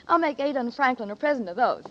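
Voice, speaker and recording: Anxious, lovestruck young woman for vintage radio melodrama; high, husky, breathless voice on a muffled old broadcast. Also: fairly bright tone, exaggerated voice. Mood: brisk, eager. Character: devoted, anxious young woman in love, ex-nurse. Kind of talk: 1940s radio drama acting.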